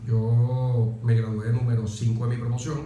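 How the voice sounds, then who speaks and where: Echoey, mellow-voiced middle-aged Venezuelan man. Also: mid-range voice, little pitch movement, normal speed. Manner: emphatic repetition, rhetorical questions. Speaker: interview guest, military officer. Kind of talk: conversational anecdotal interview. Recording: very echoey, reverberant room.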